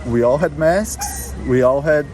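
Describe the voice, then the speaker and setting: A man's voice: a little high, measured pace, a little mellow, poor audio. Man, outdoor street noise. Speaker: voter interviewed on the street.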